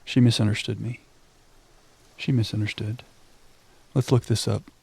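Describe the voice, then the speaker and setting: A man's voice: on the low side, little pitch movement, unhurried, vocal fry, a little mellow, husky. A man hosting a game livestream, chatting casually and self-deprecatingly with viewers and reading on-screen text aloud into a close microphone.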